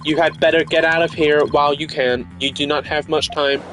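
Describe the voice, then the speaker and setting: A sinister game villain, acted out by a man, slightly high in pitch and coldly deliberate. He is a smug bomb expert who makes calm threats while music plays clearly behind him. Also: lightly acted. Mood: smug menace.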